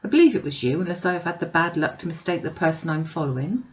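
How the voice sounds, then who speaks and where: A woman's voice: low-pitched, lively intonation, fast. A woman reader acts out an old woman from the country in a classic storybook. She is chatty, curious and earnest, with polite rustic phrasing. The recording is a little muffled. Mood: eager curiosity.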